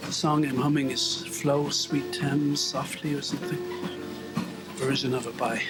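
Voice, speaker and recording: A man's voice: medium pitch, level tone, measured pace, over loud music. A man rambling to the camera while walking on a treadmill, a bit out of breath, wry and self-mocking. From Ireland. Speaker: vlogger. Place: indoors at home, on a treadmill.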